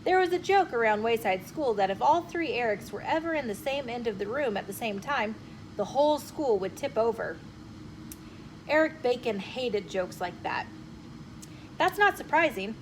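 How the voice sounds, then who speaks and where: A woman with a fairly high voice hosts a read-aloud for children. Her delivery is expressive and swings widely in pitch as she speaks right to her young audience. A little background noise sits behind her. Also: normal speed, poor audio. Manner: animated, expressive, addresses young listeners directly. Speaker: storyteller host. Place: bookshop, with ambient noise.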